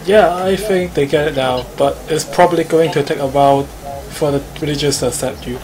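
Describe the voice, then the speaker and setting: Hk guy, bit higher voice, reading story game out loud, music going in bg. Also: a little fast, rough recording. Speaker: streamer. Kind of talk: visual novel narration mixed with casual chat.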